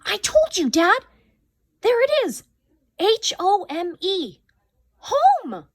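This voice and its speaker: A woman's voice: very high-pitched, sing-song, slow, dry recording, clean studio sound, pitched above the performer's own voice, exaggerated voice. A woman voicing an eager young girl who insists on what she has found, in a storybook reading. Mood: excited.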